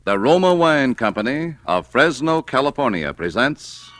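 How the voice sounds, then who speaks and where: A man's voice: mid-range voice, sing-song, normal speed, fairly bright tone. A man reading a scripted commercial as a polished announcer in 1940s radio style. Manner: polished salesman phrasing.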